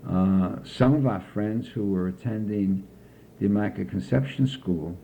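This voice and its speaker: An older man from the United States, recalling his childhood slowly and carefully in an oral history interview. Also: on the low side, gravelly, narrow, tinny audio, a little hiss or hum. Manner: slow, measured recollection with frequent pauses.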